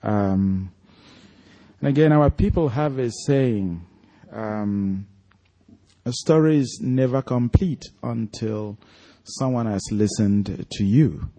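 A man's voice: on the low side, takes it slowly, warm tone. A man with a Nigerian accent, an author speaking and reading aloud to an audience at a live event. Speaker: author reading from his own story at an event.

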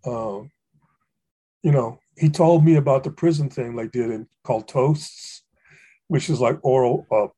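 An older man from Hawaii telling stories about fellow poets in a relaxed conversation, with frequent fillers and restarts. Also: mid-range voice, measured pace, breathy edge. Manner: rambling, many fillers and restarts, warm anecdotes. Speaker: poet and guest. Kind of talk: reflective storytelling conversation.

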